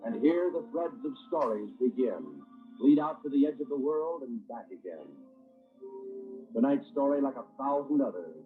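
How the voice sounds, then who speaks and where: A man's voice: medium pitch, sing-song, normal speed. A man narrating in a scripted, dramatic 1940s radio style as the announcer of a program. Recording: dull old radio broadcast recording. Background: music.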